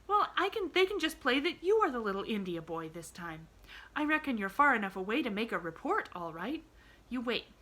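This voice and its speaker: A woman voicing an eager, cheerful young girl who talks in breathless rushes. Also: a little high, sing-song, voice pushed up, exaggerated voice. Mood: excited. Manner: bright, breathless and chattering.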